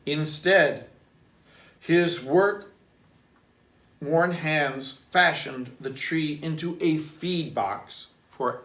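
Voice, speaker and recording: A man, a priest, reading a children's story aloud in an expressive, deliberate way and adding reflective asides. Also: on the high side. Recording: roomy echo, distant microphone.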